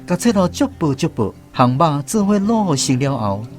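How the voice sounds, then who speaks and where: A man with a Taiwanese accent narrating a scripted radio drama as a grown son, reflective and expressive. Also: on the high side, moderate pace. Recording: music behind the voice.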